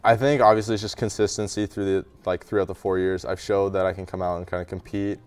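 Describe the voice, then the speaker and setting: Low-voiced, fast-talking young man. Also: smooth. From Canada. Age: young adult. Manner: relaxed, modest, many fillers like "kinda" and "um". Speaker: college hockey goalie guest. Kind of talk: studio interview answers. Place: small TV studio with brick backdrop. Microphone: lapel mic.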